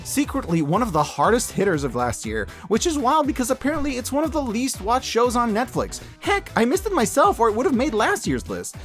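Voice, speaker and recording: A man's voice: very high voice, a little fast, few pauses. A man hosting a scripted video-essay review, speaking emphatically with playful exaggeration and joking asides. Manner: animated, emphatic, hyperbolic, jokey asides. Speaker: host reviewing animated shows and media. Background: background music.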